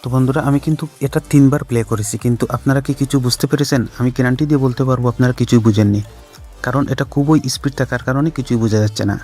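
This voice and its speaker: Fast-talking man giving instructions. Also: medium pitch, fairly even intonation, a little mellow. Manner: instructional, step-by-step, repeats instructions. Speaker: tech tutorial host. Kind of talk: scripted-sounding how-to narration addressed to viewers. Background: background music.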